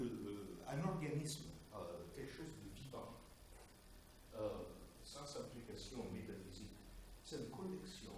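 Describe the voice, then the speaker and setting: A man in his sixties, born in Italy and raised in the United States, giving a hesitant, explanatory academic presentation as a chemistry professor, pausing often with fillers. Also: mid-range voice. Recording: echoey room, distant mic, faint noise.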